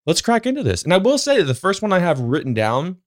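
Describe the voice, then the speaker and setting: A man's voice: high voice, wildly swooping pitch, fairly quick, no echo, clean studio sound. A man in his thirties hosting a solo podcast, rambling in a casual, slangy, upbeat way and swearing freely. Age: 30s. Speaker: podcast host.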